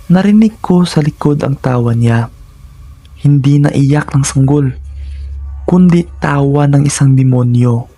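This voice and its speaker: Guy reading scary stories, husky creaky voice, dramatic and suspenseful, real dry sound. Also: mid-range voice, mellow, no echo. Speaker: narrator of horror stories. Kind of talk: scripted first-person horror storytelling.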